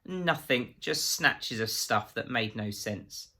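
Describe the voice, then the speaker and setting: A man's voice: medium pitch, expressive, slow, sharp tone, breathy edge, exaggerated voice. A man voicing a cowardly, guilty man who stammers, pleads and snivels through a story. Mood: abject snivelling.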